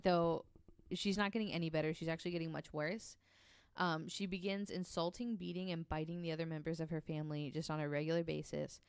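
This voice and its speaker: A woman's voice: low-pitched, clean tone, dry recording, clean studio sound. Woman telling a story on a podcast. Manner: casual storytelling with frequent ums and offhand swearing. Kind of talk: casual podcast chat.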